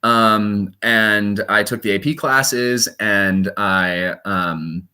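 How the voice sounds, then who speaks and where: A young man from Colorado, a stage performer, chatting casually in an interview with lively, self-deprecating asides. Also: lowish voice, extremely slow, clear, resonant tone. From Colorado, USA. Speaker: guest performer.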